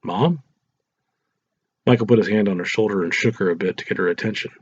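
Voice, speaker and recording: A man reads a story aloud as narrator. His voice is fairly low and husky. The home recording is dull and lacks crispness. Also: steady tone, rapid-fire, no echo. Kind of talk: scripted audiobook narration.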